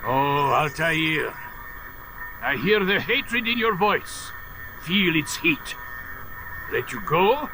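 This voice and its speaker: A man playing a menacing, manipulative old master in a video game, speaking deliberately with theatrical condescension. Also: on the high side, animated. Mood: cold, imperious. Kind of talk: video game cutscene acting. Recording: clearly noisy game audio.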